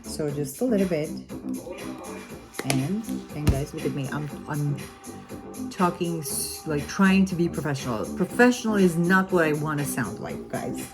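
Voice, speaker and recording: A low-voiced woman with an Armenian accent talks her way through a home cooking video, loose and chatty, full of fillers and restarts. Her native language is Armenian. Loud music plays behind her in the kitchen. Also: lively intonation, moderate pace. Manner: chatty, rambling, self-correcting with fillers. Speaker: cooking vlogger. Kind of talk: casual cooking vlog.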